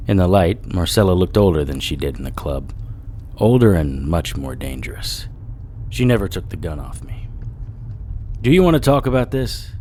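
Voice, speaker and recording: A man's voice: low voice, very animated, moderate pace, a little mellow, a little hiss or hum. An actor playing a wry, sardonic hard-boiled investigator who narrates his own story in an audio drama. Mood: cool, guarded.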